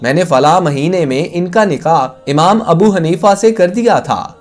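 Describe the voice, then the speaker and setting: A man's voice: fairly high pitch, unhurried, close to an everyday voice. A man voicing an older father in a storybook tale, speaking in a matter-of-fact way. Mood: assured. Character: older father-in-law vouching for his daughter.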